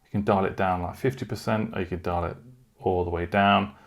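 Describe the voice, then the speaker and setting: A man's voice: low voice, steady tone, brisk, gravelly. A man talking casually through a product unboxing and review in an informal way, with short asides.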